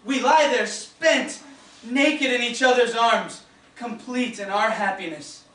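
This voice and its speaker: An actor playing a flustered, tipsy husband spinning a tall story in a comic stage monologue, with theatrical delivery, recorded on an echoey theatre stage. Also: male voice, very high voice, distant mic, full character voice. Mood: rapturous. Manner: theatrical, halting then excitable storytelling. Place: indoor stage of a small theatre.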